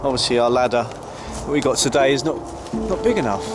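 A man's voice: mid-range voice, expressive, a little fast, background noise. A man, exploring an overgrown site outdoors and commenting casually to an arm's-length camera, with plenty of ums and uhs. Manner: chatty, hesitant with many fillers. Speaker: urban explorer vlogger. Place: outdoors in overgrown grounds of an old tower. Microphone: action camera held at arm's length.

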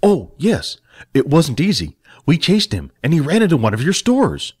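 A man's voice: on the high side, wildly swooping pitch, normal speed, husky, pitched above the performer's own voice, full character voice. A man voicing Jack, an eager, nervous young man who talks earnestly.

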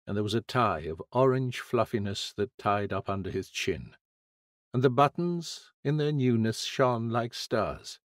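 A man's voice: lowish voice, lively intonation, unhurried, dry recording, clean studio sound. A man with an English accent narrating a fable as an audiobook reader.

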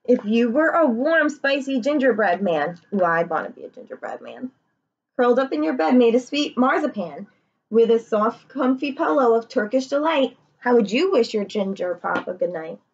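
A young woman reads a picture book to children in a fairly high, breathy-edged voice. She is expressive and often turns to her listeners with questions. The webcam recording sounds a little dull. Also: moderate pace. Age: young adult. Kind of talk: children's read-aloud with asides. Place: living room, indoors.

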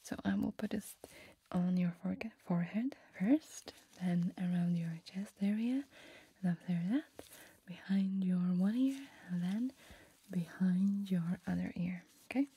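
A woman speaks in an extremely breathy, mostly unvoiced whisper, playing an examiner in an ASMR roleplay. Her tone is soft and relaxed, and she goes slowly, leaving small pauses as she gently reassures the listener. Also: fairly low pitch, no echo.

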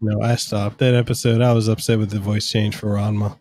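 A man's voice: on the low side, little pitch movement, a little fast, a little mellow. A man co-hosting a podcast, talking casually and rambling through long explanations with fillers, on a studio mic at home. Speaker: podcast co-host. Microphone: studio mic on arm, headphones.

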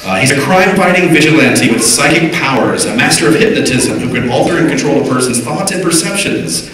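A man's voice: mid-range voice, lively intonation, brisk, a bit bright. A middle-aged American man hosting a panel in a casual, joking, slangy and rambling way. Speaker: panel host. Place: hotel conference room before an audience. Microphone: handheld PA mic, captured by distant camera. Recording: very echoey, distant, busy crowd behind. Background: audience crowd.

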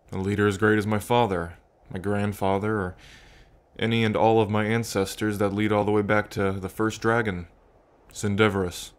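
A man playing a fond, reflective dragon king in an intimate ASMR roleplay, musing aloud to the listener with pauses. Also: on the low side, close to an everyday voice. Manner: unhurried, musing, pausing, softly chuckling between thoughts. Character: adult male dragon king, fond, reflective, proud of ancestors.

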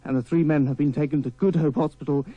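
A man's voice: fairly high pitch, steady tone, fast, dry recording, muffled recording. A British man delivering a scripted news report.

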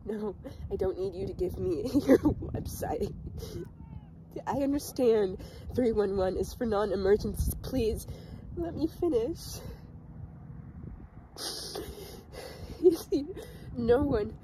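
Woman acting a slow, dark-voiced troubled teen outcast. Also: a little high, expressive, slight background noise, poor audio. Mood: agitated, insistent. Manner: slow, halting, emotionally intense delivery with pauses. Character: troubled, bitter, desperate teenage outcast calling emergency services. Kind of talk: dramatic acting monologue.

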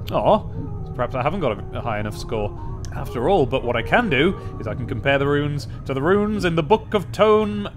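A young man giving a gaming commentary, thinking aloud and reading game text in a playfully expressive way, close to the mic. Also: a little high. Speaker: let's play host. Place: small indoor room at a desk. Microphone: close studio mic on an arm. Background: game music.

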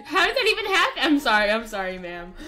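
Bright, high-voiced, animated woman gamer. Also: fast. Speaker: gaming YouTuber. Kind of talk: casual roleplay let's play commentary.

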